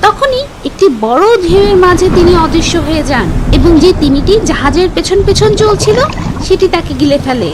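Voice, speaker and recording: A woman's voice: extremely high pitch, expressive, brisk, heavy background noise. A woman reading a scripted religious story for children in a teacherly manner, as a storyteller. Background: music.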